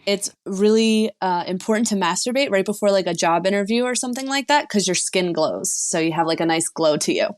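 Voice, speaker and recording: A woman sex and relationship coach appearing as a podcast guest. She answers in a relaxed, chatty way, with easy fillers between thoughts. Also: mid-range voice, brisk, few pauses. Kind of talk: interview answers.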